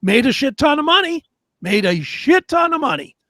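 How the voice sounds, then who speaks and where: A man's voice: very high voice, sing-song, normal speed, sharp tone, no echo, clean studio sound. A man co-hosting a talk show in a casual, profane way, blunt and opinionated. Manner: blunt, opinionated, swears freely.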